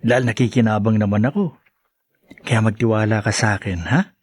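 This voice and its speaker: A man's voice: lowish voice, fairly quick, husky, dry recording. A man playing a smug, dismissive schemer in a radio drama. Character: smug scheming husband, Alberto's friend.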